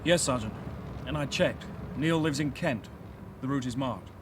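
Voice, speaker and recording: An actor playing a young, put-upon detective constable, earnest and dutiful, in a British radio crime drama. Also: male voice, medium pitch, moderate pace, background noise, natural voice. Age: young adult.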